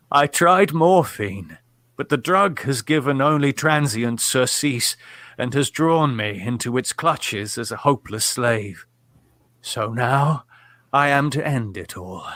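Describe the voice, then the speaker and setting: An actor playing a tormented, doomed narrator in a horror audio drama, with formal, theatrical delivery. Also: male voice, medium pitch, lively intonation, takes it slowly, fairly bright tone, dry recording. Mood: bleak, resigned. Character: tormented male narrator of a horror tale, morphine addict, doomed.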